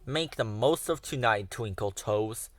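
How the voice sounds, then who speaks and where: A man's voice: lowish voice, measured pace, no echo, voice pushed down, close to an everyday voice. A man voicing a menacing male diesel engine villain that growls and sneers.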